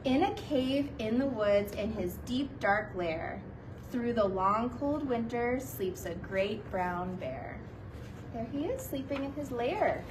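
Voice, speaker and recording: Animated woman reading a storybook, high-pitched. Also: slow pace, distant mic, noisy background. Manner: animated, playful asides to young listeners. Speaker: kindergarten teacher reading a picture book. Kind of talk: expressive children's storybook read-aloud.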